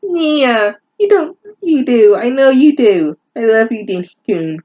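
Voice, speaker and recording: Man putting on a high gushing anime lover. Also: lively intonation, unhurried, a little mellow, muffled recording, exaggerated voice. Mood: gushing, syrupy. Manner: exaggerated, gushing. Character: lovestruck anime couple, gushing and syrupy sweet.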